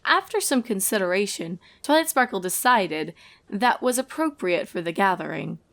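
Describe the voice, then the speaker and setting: A woman's voice: mid-range voice, expressive, normal speed, a bit bright. A woman reading scripted narration of a comic story as the storyteller.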